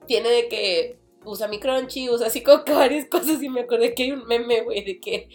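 A woman's voice: a little high, brisk, a little mellow, soft background music. A young woman with a Mexican accent, co-hosting a casual podcast chat, slangy and full of swearing. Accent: Mexican Spanish. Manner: slangy, swears freely, ranting and laughing. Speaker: podcast co-host.

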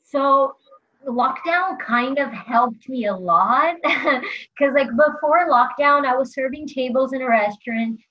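A young woman from Brazil chats easily about her music, with lots of fillers and a laugh slipping into her sentences. Her voice sits a bit high. The recording is a little dull and lo-fi. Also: slow pace. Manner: chatty, frequent "like" fillers, laughs while talking. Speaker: musician guest. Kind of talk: casual interview chat. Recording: slightly dull lo-fi sound.

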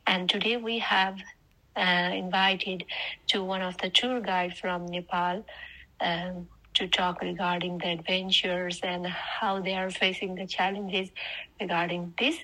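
A woman's voice: fairly low pitch, level tone, unhurried, pushed and bright, rough recording. A woman introducing a podcast in hesitant, non-native English. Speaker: podcast presenter.

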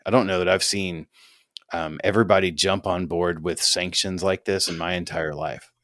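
A man's voice: deep voice, fairly even intonation, normal speed, a bit bright, no echo, clean studio sound. A man co-hosting a podcast, chatting casually in a rambling, opinionated way and swearing freely. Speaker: podcast co-host. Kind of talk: casual chat.

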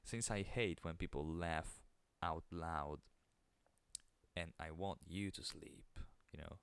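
A man with an Italian accent hosting a podcast alone, keeping his voice low and soothing, with an occasional stutter into the microphone. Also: steady tone, slow, creaky, a bit bright, smooth, no echo. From Italy. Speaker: podcast host. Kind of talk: casual solo monologue.

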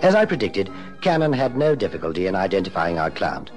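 Radio play lead: polished British detective agency chief, rather deep, expressive, unfailingly polite. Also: male voice, faint music behind. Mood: storytelling. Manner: urbane, polite, measured. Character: suave, courteous male private investigator and agency boss. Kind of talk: radio drama dialogue and narration.

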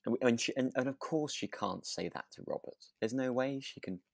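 A man's voice: mid-range voice, brisk, creaky, no room sound, clean studio sound. A man, co-hosting a podcast and casually discussing a radio drama, often repeating words as he goes. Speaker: podcast co-host.

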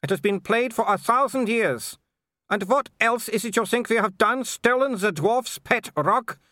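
Man voicing a high, haughty werewolf baroness. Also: moderate pace, dry recording. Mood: icy disdain. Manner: sharp, clipped, snapping delivery. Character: haughty aristocratic older werewolf baroness, a mother, sharp and scornful.

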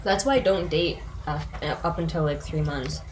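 A woman co-hosting a casual chat, her voice raspy, talking frankly and laughing often. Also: low voice, normal speed, very noisy. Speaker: podcast co-host.

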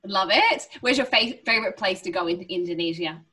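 A woman's voice: mid-range voice, expressive, a little fast, pushed and bright. A young woman hosting a podcast interview, bubbly and effusive, full of gushing praise for her guest. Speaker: podcast host. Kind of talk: casual interview.

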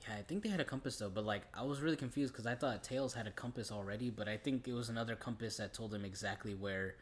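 A man with a fairly low voice discusses films as a podcast co-host. He is casual and conversational, using loose, everyday phrasing. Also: fast talker. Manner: informal, conversational, often says "like".

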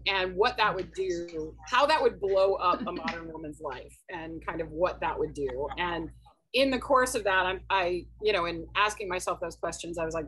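A woman author as a podcast guest, talking through her book series in a thoughtful, hesitant way with many pauses. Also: fairly low pitch, fairly bright tone, husky, low-quality recording. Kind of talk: casual podcast interview.

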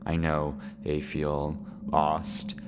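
Slow, very low man acting a grieving elderly widower. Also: monotone, noisy background, exaggerated voice. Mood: sorrowful, reflective. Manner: slow, halting, reflective delivery with weighty pauses. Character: lonely, grieving Syrian widower in his seventies, resigned father. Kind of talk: dramatic monologue for a podcast.

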